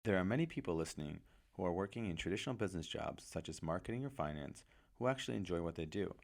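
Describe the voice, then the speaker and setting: A man delivering a scripted, measured podcast introduction as host. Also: sits low, animated, gravelly. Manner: measured, read-aloud delivery.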